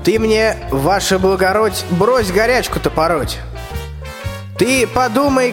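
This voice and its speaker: An actor playing a pompous, threatening old tsar in a rhymed fairy-tale radio play. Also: male voice, sits high, heavily acted. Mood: scolding, menacing. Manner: pompous, colloquial rhymed speech. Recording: long hall reverb, music behind the voice.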